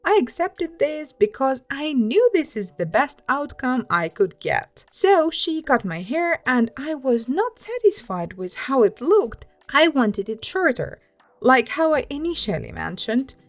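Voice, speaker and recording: High-pitched, lively woman reading stories. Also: measured pace, no room sound, soft background music. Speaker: host reading online stories aloud. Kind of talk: scripted story narration.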